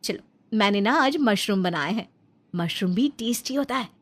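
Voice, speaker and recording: A woman's voice: medium pitch, lively intonation, normal speed, pitched below the performer's own voice, natural voice. A woman with an Indian accent voicing a stubborn, chirpy young vegetarian daughter-in-law in a cartoon story, theatrical and mixing in English. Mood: bossy, persuasive. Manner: theatrical, mixes English into speech.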